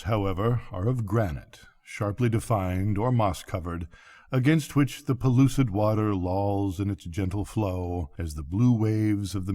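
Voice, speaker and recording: An older man reading a literary bedtime story aloud in measured, formal phrasing. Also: fairly low pitch, mellow. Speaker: story reader.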